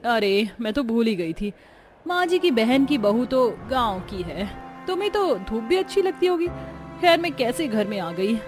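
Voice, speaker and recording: Woman acting a spoiled high-voiced city bride. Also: sing-song, unhurried, music in the background. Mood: disdainful. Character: spoiled, complaining young city daughter-in-law.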